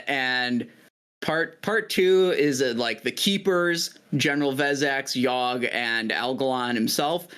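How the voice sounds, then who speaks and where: A man guesting on a gaming podcast, talking in a steady, informative, fairly monotone way with lots of "uh" and "like". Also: medium pitch, clean tone. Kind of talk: casual podcast chat.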